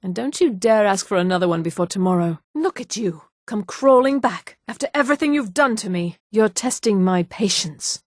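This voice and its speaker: Fantasy game high elf woman, played by an actress: haughty, poised, articulate, theatrical, mid-pitched, dry studio sound. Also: expressive, moderate pace, few pauses, dry recording, close to an everyday voice. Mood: hurt, scornful. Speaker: video game voice actor. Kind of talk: acted video game dialogue.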